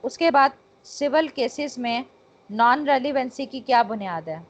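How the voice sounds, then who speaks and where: A woman's voice: high-pitched, lively intonation, moderate pace. A woman with a Pakistani accent giving an instructional law lecture point by point, mixing in English technical terms. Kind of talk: exam-prep lecture, explaining point by point. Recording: dull, lo-fi lecture recording.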